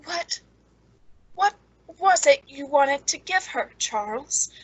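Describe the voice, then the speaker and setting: A woman's voice: high voice, expressive, takes it slowly, no echo, low-quality recording. An actress playing a lonely, eager, nervously polite woman in an old-time radio suspense drama, hesitant and genteel. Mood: shocked, anxious.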